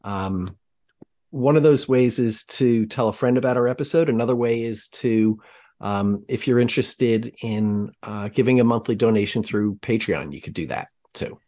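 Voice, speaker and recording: A man hosting a trail running podcast, talking casually in rambling, halting sentences full of ums. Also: medium pitch, dark tone. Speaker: podcast host. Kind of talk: casual chat.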